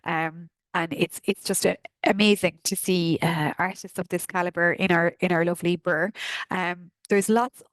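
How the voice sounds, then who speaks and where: A woman from Kerry with a Kerry Irish accent, hoarse from a sore throat, chattily walking through an events newsletter. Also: on the low side, steady tone, moderate pace, no echo. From Kerry, Ireland. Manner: chatty, friendly, frequent ums and uhs. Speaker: arts office presenter.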